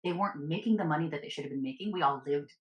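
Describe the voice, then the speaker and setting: Low-voiced woman in an echoey room. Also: rapid-fire. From United States. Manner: lively storyteller, heavy use of "like". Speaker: guest. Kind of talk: casual conversation.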